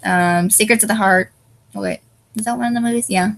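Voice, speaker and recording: A tired young woman, her nose stuffed up, chats casually at a mid-range pitch. She rambles, pauses often on "um", and loses her place mid-thought. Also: fairly even intonation, creaky. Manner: hesitant, many ums, loses her train of thought. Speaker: fanfiction reviewer.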